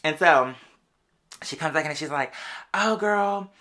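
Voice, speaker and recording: A podcast host telling a personal story in a casual, animated, sassy way, full of slang and drawn-out emphatic words. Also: medium pitch. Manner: animated, sassy, slangy, addressing listeners as "girl". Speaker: podcast or live show host. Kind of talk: casual storytelling monologue to an audience.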